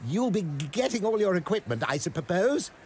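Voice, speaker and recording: An actor playing a nervous wizard scholar with a stammer in a video game. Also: male voice, high-pitched, very animated, normal speed, darkish tone, a little hiss or hum. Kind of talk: game cutscene acting.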